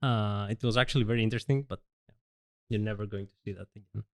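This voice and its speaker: A man's voice: on the low side, fairly even intonation, fast. A man hosting a podcast in casual chat, easygoing and laughing at his own mistakes. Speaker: podcast host.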